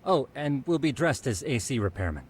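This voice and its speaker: A man acting a genial, methodical detective in a game cutscene. His medium-pitched voice swings expressively through polite, slightly theatrical phrasing, over a faint rumbling noise. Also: big pitch swings, normal speed, lightly acted. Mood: playfully businesslike. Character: genial, methodical male detective with quaintly formal speech.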